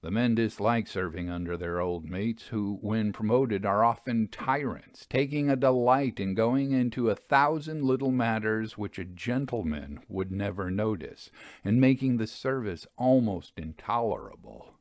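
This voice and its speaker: Guy with a lowish voice reading stories aloud, measured and deliberate, really dry close sound. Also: animated, dry recording. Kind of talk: literary storytelling, audiobook-style reading.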